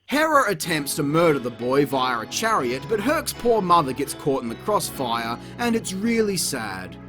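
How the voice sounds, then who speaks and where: Sarcastic, sweary video reviewer, mid-pitched and animated, theatrical exasperation, music bed behind. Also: moderate pace. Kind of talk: scripted comedic review narration.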